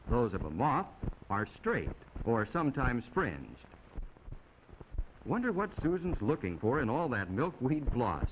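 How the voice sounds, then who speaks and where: An American man delivers scripted narration in the style of a 1950s classroom film. His mid-pitched voice rises and falls very expressively as he explains things to young viewers in a folksy, chatty way. The old optical soundtrack sounds muffled, with faint noise underneath. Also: very animated, measured pace. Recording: dull, noisy 1950 film soundtrack.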